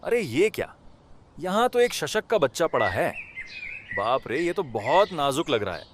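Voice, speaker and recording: A man's voice: a little high, very animated, a little slow, slight background noise. A man playing a tender-hearted poor villager in a cartoon moral story, with exclamatory, rustic colloquial speech. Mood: surprised, pitying. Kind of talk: animated moral story acting.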